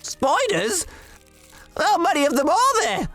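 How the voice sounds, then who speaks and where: A man voicing a brash, remorseless killer in a cartoon, exaggerated and excitable. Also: very high voice, slow, soft background music, exaggerated voice. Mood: panicked. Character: brash, remorseless male killer, later begging victim.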